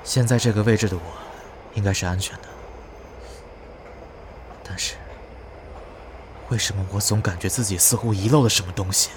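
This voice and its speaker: An actor playing an anxious man trapped in a horror drama, reasoning tensely to himself in deliberate inner monologue. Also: close to an everyday voice. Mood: tense, uneasy. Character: anxious male player trapped in a ghost task. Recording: noisy train ambience. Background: train rumble.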